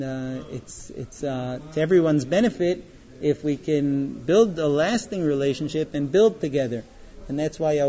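A thirtyish male teacher with a soft, mellow voice, pausing often as he addresses his students in class, caught on a dull recording. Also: a little high. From born in Israel, later moved to the US. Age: 30s. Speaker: yeshiva teacher. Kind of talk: informal classroom talk. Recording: dull, lo-fi sound.

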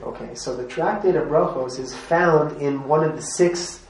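Man leading a casual class, quizzes the room; higher-pitched, recorded from across an echoey room. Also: normal speed, vocal fry. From Montreal, Canada. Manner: chatty, asks the group questions, laughs often. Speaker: rabbi teaching a class. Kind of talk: informal classroom lesson. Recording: echoey room, mic at a distance, faint noise.

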